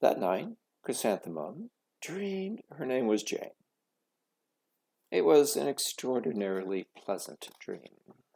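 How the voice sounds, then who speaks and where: A man reading a children's story expressively, with a dramatic, deliberate delivery full of pauses. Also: mid-range voice, big pitch swings, creaky, dark tone, breathy edge. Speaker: storybook reader.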